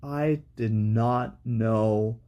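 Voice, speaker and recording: A man telling a casual anecdote in English, dry and deadpan, acting out the other person's lines. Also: lowish voice, drawn-out words, clean tone. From Denmark. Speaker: storyteller in a short video clip.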